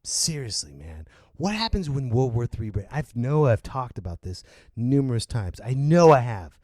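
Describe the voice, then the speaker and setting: Podcast host, male, animated rant voice, swings wide in pitch, close dry sound. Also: normal speed, no echo. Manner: loud, animated ranting with sudden yells. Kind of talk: opinion rant.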